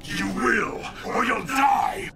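An actor playing a vengeful, defiant undead ninja in a video game, with a gravelly, menacing growl and terse, formal threats. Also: male voice, very high voice, slow pace, shouting, heavy background noise, full character voice. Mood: angry.

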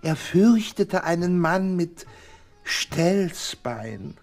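A man's voice: sits high, big pitch swings, drawn-out words, darkish tone, soft background music, heavily acted. An actor playing a nervous, eccentric, hypochondriac little man in a radio drama, with theatrical, jittery exclamations. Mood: nervous, confiding. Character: nervous, eccentric hypochondriac little bald Englishman, easily flustered.

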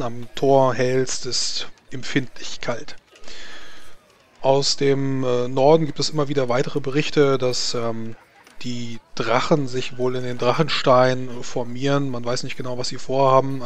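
A man narrating a tabletop role-playing game as game master, improvising scene descriptions. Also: mid-range voice.

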